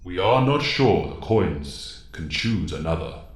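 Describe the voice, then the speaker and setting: A man playing a grave, wise alien mentor in an audio drama, speaking in a solemn, formal, measured way. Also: low voice, big pitch swings, exaggerated voice. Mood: grave, firm. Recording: echoing, reverberant voice.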